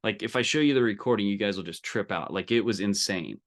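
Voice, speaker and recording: A man's voice: fairly low pitch, quick pace. A man giving an informal, emphatic live coaching talk, direct and colloquial, often checking in with listeners. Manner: colloquial, salesy. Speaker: business coach leading a group training call.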